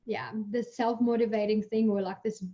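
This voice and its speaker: Chatty woman, muffled mellow call audio. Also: fairly high pitch, moderate pace. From Germany. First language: German. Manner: lively storyteller, chatty with many fillers, laughs while talking. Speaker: guest scientist. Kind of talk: casual Q&A answers.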